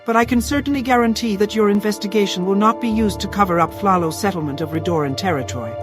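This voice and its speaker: A woman voicing a proud, guarded town governor in a fantasy video game, speaking in a formal, measured way. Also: medium pitch, natural voice. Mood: formal, wounded pride. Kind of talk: scripted video game dialogue. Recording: game music behind the voice.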